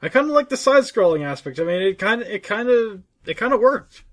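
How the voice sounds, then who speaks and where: A man with a noticeably high voice talks as co-host of a gaming discussion. His delivery is informal and conversational, circling back over his own phrases as he argues. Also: very animated, fast. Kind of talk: casual chat.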